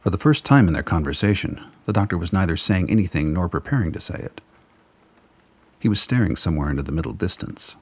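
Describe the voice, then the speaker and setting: A man narrating a scripted radio drama in a low, gravelly, husky voice, measured and brooding. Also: expressive, no room sound.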